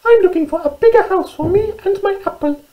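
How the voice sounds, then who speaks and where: Tiny squeaky little storybook mouse. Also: female voice, brisk, muffled recording. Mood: eager, polite. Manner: polite, eager. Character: tiny determined female mouse, polite and hungry. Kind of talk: children's storybook character voice.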